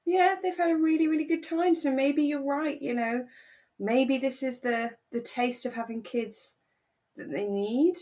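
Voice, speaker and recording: A woman co-hosting a podcast, chatting casually and telling stories with animated asides. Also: high voice, a little slow, slight background noise. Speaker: podcast co-host. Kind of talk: relaxed casual chat recapping a radio drama.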